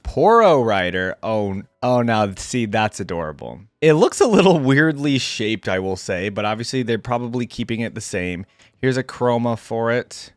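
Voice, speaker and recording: A man's voice: mid-range voice, no echo, studio quality. Male reaction host: casual, off-the-cuff commentary in an average register, very little room sound.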